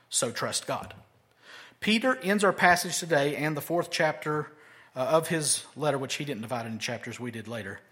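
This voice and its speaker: A man preaching an expository sermon, moving between loud, emphatic lines and quiet, low asides. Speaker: pastor.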